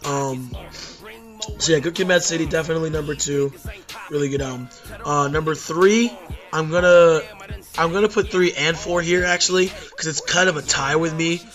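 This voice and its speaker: A hoarse young man ranking albums in a rambling, casual talk, full of fillers and self-corrections. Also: fairly high pitch, normal speed, a bit bright, faint music behind. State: hoarse from losing voice at a concert. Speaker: YouTube music reviewer.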